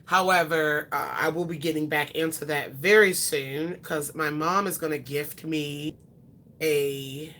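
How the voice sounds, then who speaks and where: A woman's voice: low voice, fairly even intonation, a little slow, fairly bright tone. A woman in her twenties chatting casually with viewers and answering their questions, rambling with frequent ums and self-corrections. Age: 20s. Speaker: beauty vlogger.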